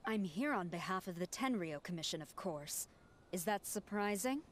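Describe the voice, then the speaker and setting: A woman's voice: on the low side, expressive, moderate pace, heavy background noise, lightly acted. A woman playing a stern, dutiful military general in a video game, formal and curt.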